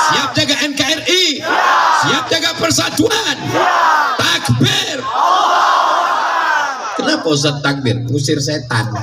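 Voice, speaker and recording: A high-pitched male preacher rouses a gathering, calling out questions for the crowd to answer. He is captured from a distance in an echoey space. A crowd and music are heard clearly behind him. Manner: rousing, calls out questions for crowd responses. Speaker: Islamic preacher. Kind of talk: sermon to a crowd. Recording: echoey live recording from far off with crowd and music.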